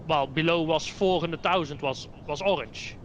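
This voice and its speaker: Dutch man, somewhat high-pitched, hesitant casual chatter, faint hum behind. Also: normal speed, fairly bright tone. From Netherlands. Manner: hesitant, thinking aloud with fillers. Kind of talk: casual gaming chat.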